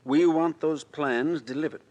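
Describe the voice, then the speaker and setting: An actor playing a poised, cultured insurance executive in a 1940s radio drama. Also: male voice, fairly high pitch, measured pace, darkish tone, lightly acted. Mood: businesslike. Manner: polished, businesslike, to the point.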